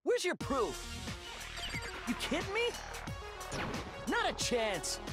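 A man voicing a hot-headed, cornered teenage boy in an anime video game, yelling in frantic, defiant denial. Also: huge pitch swings, slow pace, heavily acted. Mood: frantic, furious. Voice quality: shrill yelling. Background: loud game music.

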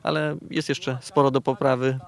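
A man working as a club reporter, asking measured questions in an outdoor interview at a football pitch. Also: flat delivery.

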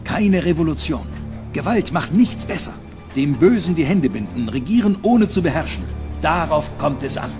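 An actor gives voice to a principled professor from two centuries ago who lays out his plan with calm conviction. His voice sits a little high, and a music bed plays under the dramatized scene. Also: moderate pace, close to an everyday voice. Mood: firmly persuasive. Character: idealistic male 18th-century professor, earnest. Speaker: actor in a documentary reenactment.

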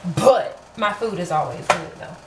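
A woman's voice: lowish voice, lively intonation, measured pace, background noise. A woman from Florida chatting casually through a home cooking vlog, self-deprecating and full of fillers, recorded on a camera in her kitchen. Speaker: vlogger.

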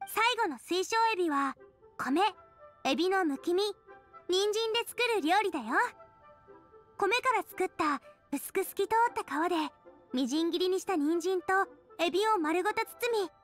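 A woman voicing a cheerful, earnest teenage girl chef in an anime game, eagerly presenting in a clearly articulated way. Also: very high-pitched, lively intonation, moderate pace, faint music behind. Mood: proud, eager. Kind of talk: anime game dialogue, explaining dishes.

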